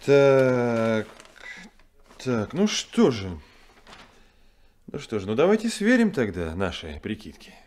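An actor playing a brisk, commanding male ship captain in a 1970s radio drama. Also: mid-range voice, wildly swooping pitch, a little mellow. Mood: friendly. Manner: brisk, gives orders.

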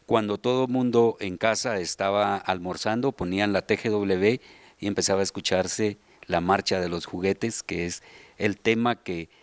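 Low-voiced middle-aged Guatemalan man. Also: dry recording. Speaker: interview guest, children's radio theatre deputy director. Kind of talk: conversational interview storytelling. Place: large tiled hall indoors, group seated behind. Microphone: interviewer's handheld microphone.

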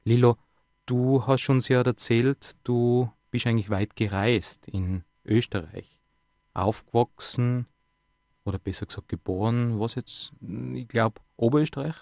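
Mellow-voiced radio host, man. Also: mid-range voice, unhurried, no echo, clean studio sound. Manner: conversational, asks many questions, tag words. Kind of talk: radio interview.

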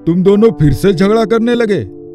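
A man voicing a kindly middle-aged company boss and indulgent father in a cartoon story, in a fatherly, measured way. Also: sits high, over music, natural voice. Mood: exasperated. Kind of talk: Hindi cartoon story acting.

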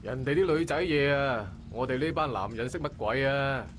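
A man's voice: medium pitch, normal speed, slight background noise, natural voice. A man playing a laid-back, blunt dock worker in a visual novel, with a Hong Kong Cantonese accent. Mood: casual.

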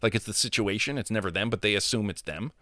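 A man in his thirties with a deep voice, ranting casually and profanely into a handheld mic held close, full of opinions. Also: fast talker, sharp tone, no room sound. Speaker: gamer vlogger. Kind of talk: casual, ranting monologue to camera. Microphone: handheld microphone held close to the mouth.

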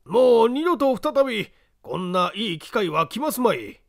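A man reading an audiobook, voicing an excitable, polite gentleman with an exclamatory manner. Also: high voice, vocal fry, voice pushed up, exaggerated voice. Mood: curious, puzzled. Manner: exclamatory, mocking politeness, drawn-out guffaws. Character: excitable, polite Japanese gentleman, astonished then heartily amused.

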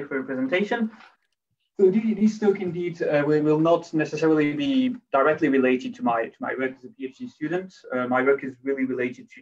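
A man, a PhD student, giving an academic talk on a video call, often restarting his phrases. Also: mid-range voice. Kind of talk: academic presentation. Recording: distant, dull video call audio.

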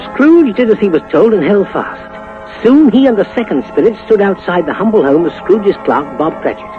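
A man's voice: high voice, animated, moderate pace, narrow, tinny audio, faint music behind. A man narrating a 1950s radio drama in a dramatic scripted storytelling style.

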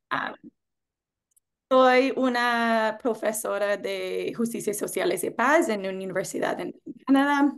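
A woman in her thirties, whose first language is English, greets a panel in tentative Spanish, pausing to find her words. She speaks from a room at home into a webcam. Her voice sits a little high. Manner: friendly, tentative non-native Spanish with hesitations. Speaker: professor and panelist. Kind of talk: panel presentation opening. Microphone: webcam on a video call.

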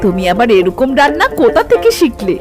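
A woman's voice: a little high, sing-song, brisk, music in the background. A woman voicing a curious, admiring villager in a cartoon, exclaiming in a folksy way. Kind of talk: Bengali cartoon voice acting.

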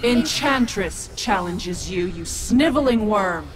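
A woman's voice: mid-range voice, expressive, slow pace, noisy background. A woman voicing a cruel, haughty ancient demon sorceress in a video game, gloating and threatening. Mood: gloating threat. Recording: music behind the voice.

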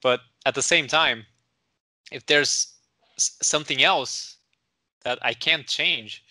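A man in his thirties with a Brazilian accent, hosting through a headset on a video call. His voice is very bright and sharp, recorded dry and close, and he talks hesitantly with frequent restarts. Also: mid-range voice, slow, no room sound. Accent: Brazilian Portuguese. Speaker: host. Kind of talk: casual interview chat.

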